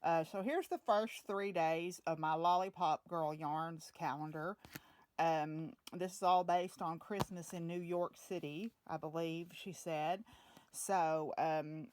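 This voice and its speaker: Chatty female vlogger, lower-pitched, easygoing and rambling. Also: steady tone, slow, darkish tone, dry recording. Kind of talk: casual home vlog chat.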